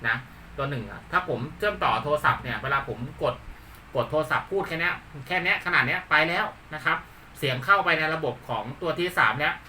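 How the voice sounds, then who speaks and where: Thai guy doing a casual gear demo, really bright sharp voice, repeats himself, kinda far from the mic. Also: a little high, animated, fast. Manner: informal, repetitive, punctuates with polite particles. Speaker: YouTube channel host. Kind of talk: casual hands-on product demonstration. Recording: distant mic with faint background noise.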